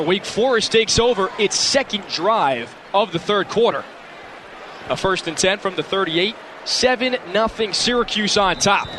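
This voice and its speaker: A young American man calling live football play-by-play for radio in vivid, clipped running phrases. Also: high voice. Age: young adult. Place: stadium broadcast position, indoor dome. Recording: noisy live stadium broadcast. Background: loud stadium noise.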